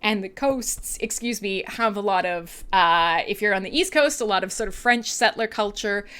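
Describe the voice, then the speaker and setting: A woman's voice: medium pitch, normal speed, fairly bright tone, smooth. A woman with a Canadian accent hosting a radio show in a casual, irreverent, sweary and playful chatting style. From western Canada. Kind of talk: casual live chat between music tracks.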